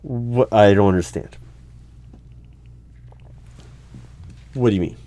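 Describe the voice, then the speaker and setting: A man playing an exasperated, bureaucratic secret agency hiring manager in a one-sided interview roleplay, halting and argumentative as he rephrases himself. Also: fairly low pitch, warm tone, natural voice. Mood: baffled, argumentative. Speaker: solo roleplay performer. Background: computer keyboard typing.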